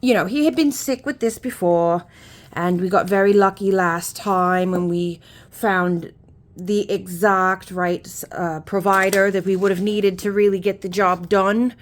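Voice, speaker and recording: A woman's voice: mid-range voice, steady tone, unhurried, close to an everyday voice. A woman putting on a chatty, homely persona with a mock Irish or Scottish lilt, rambling with folksy phrases and many fillers. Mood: sad, rambling. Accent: put-on Irish or Scottish. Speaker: YouTuber. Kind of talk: casual chat.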